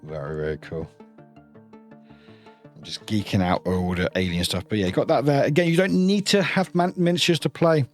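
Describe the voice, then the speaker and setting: A man's voice: on the low side, brisk, soft background music. A man giving a casual, enthusiastic, rambling unboxing commentary as a review host.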